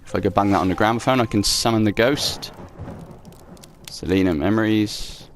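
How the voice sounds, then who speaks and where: A man's voice: on the low side, normal speed, slight background noise. A young man casually commenting on a horror game as he plays, reading in-game notes aloud, sarcastic and swearing at the game. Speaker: let's play host. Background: faint game music.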